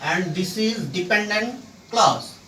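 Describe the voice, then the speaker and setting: A teacher explaining English grammar step by step, in short halting phrases broken by pauses. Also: mid-range voice, a bit bright, a little hiss or hum. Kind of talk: slow explanatory grammar lesson. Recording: roomy echo, distant microphone.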